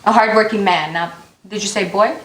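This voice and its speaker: A woman's voice: medium pitch, fairly quick, a bit bright. A young American woman, a talk show guest, chatting frankly and playfully and giggling often. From United States. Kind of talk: casual interview chat. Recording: echoey room, faint noise.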